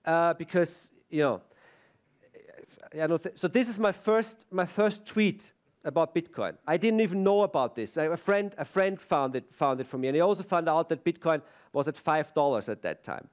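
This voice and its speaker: A man's voice: on the high side, lively intonation, normal speed. A middle-aged man with an Austrian German accent, a native German speaker, giving a chatty, self-deprecating conference talk on a stage, full of fillers and restarts.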